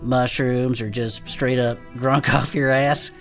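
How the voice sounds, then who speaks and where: A woman's voice: extremely low pitch, little pitch movement, slow, soft background music, heavily acted. A woman playing a folksy, wisecracking adventurer sidekick who co-hosts a cryptid club in an improvised comedic audio fiction. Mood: impressed, joking. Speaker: co-host.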